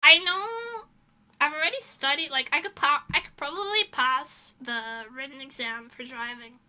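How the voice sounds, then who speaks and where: Female streamer, high-pitched and piercingly bright, blunt rambling chat delivery, casual and sweary. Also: expressive, moderate pace. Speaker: livestreamer, illustrator. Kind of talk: casual livestream chat, reading and answering chat messages.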